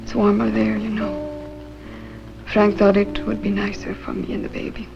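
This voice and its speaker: A woman's voice: medium pitch, little pitch movement, moderate pace, creaky. An actress playing an earnest, plaintive married woman in a 1950s television drama. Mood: weary, wistful. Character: weary, wistful married woman denying then confessing a secret. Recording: dull 1950s soundtrack, loud music behind.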